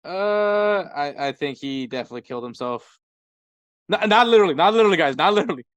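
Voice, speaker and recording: A man's voice: a little high, expressive, fast talker, fairly bright tone, smooth, no room sound. A man co-hosting a sports podcast in casual soccer chat, with an informal, jokey way of talking.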